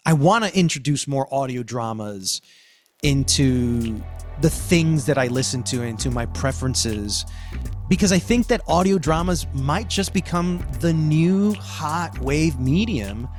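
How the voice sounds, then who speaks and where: A man's voice: a little high, expressive, unhurried, soft background music. A man hosting a podcast discussion as a cultural critic, speaking in an effusive, emphatic way with repeated phrasing.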